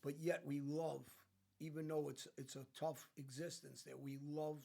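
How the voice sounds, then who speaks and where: American guy, gravelly, kinda high voice, emphatic storytelling, restarts a lot. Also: flat delivery, normal speed, warm tone. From United States. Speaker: podcast guest. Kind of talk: casual conversation.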